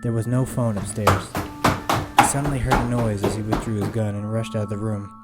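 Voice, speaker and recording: A man reading a scripted horror story in a measured, suspenseful way, with dramatic pauses. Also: on the low side, level tone, heavy background noise. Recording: music behind the voice. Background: eerie background music.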